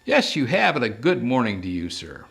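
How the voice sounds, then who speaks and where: A man's voice: mid-range voice, wildly swooping pitch, moderate pace, creaky, fairly bright tone, heavily acted. A man voicing a terse, wry, self-assured male private detective from a 1930s crime audiobook. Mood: pleasant.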